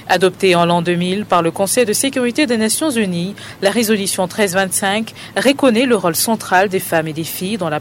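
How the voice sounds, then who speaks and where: Brief: male reporter, high voice, formal scripted read, faint street noise behind. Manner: formal, measured delivery. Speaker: news reporter.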